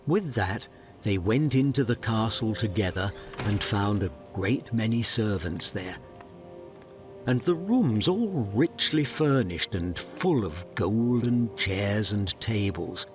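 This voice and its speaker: A man narrating a fairy tale in a measured, dramatic storybook style. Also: fairly low pitch, expressive, soft background music.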